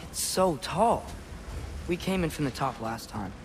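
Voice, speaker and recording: A man's voice: on the high side, animated, a little slow, background noise. An actor playing an earnest, inquisitive teenage boy adventurer in a video game, youthful, informal and full of questions. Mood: curious.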